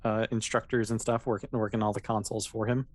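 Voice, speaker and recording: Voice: medium pitch, flat delivery, quick pace, a little mellow. A game master casually improvising narration for a tabletop sci-fi game, in an easygoing, hesitant way, with everyday phrasing.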